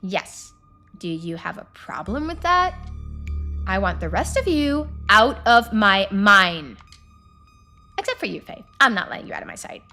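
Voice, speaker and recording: A woman's voice: medium pitch, very animated, a little slow, pushed and bright, voice pushed down, full character voice. Casting: stern, irritable young female mine boss for a game, acted by a woman, curt and exasperated, music underneath. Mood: stern, annoyed.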